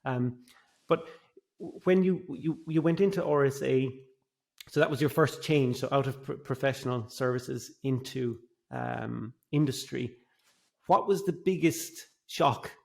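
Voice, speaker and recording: A man hosts a casual podcast interview, with a gravelly edge and plenty of fry in his mid-range voice. He agrees readily with his guest and stumbles over repeated words as he restarts his questions. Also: mid-range voice, measured pace. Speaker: podcast host.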